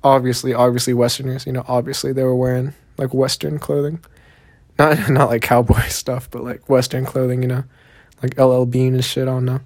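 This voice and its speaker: A man's voice: mid-range voice, flat delivery, a little fast, a little mellow. Rambling young Texan man, Southern drawl. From Texas, USA. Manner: rambling, laid-back, profane, heavy on fillers. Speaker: podcast host. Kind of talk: solo podcast monologue.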